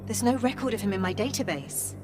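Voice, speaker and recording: A woman's voice: mid-range voice, animated, fairly quick, over music, lightly acted. A woman playing a polite Irish AI assistant. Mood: formal. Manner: polite and formal, calls him sir. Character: polite formal female AI assistant. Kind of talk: video game voice acting.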